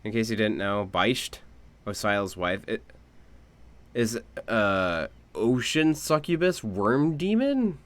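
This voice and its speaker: A man's voice: lowish voice, big pitch swings, slow pace. A man giving casual live game commentary as a streamer, musing aloud. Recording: music behind the voice.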